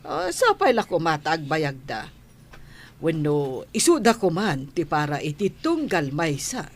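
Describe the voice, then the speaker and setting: A woman's voice: low-pitched, huge pitch swings, measured pace, full character voice. A woman acting a kindly, watchful middle-aged aunt in an audio drama, with an Ilocano accent. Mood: hopeful.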